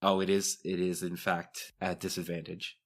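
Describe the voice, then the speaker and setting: Man, deep low voice, game master, tabletop game narration. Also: level tone, moderate pace.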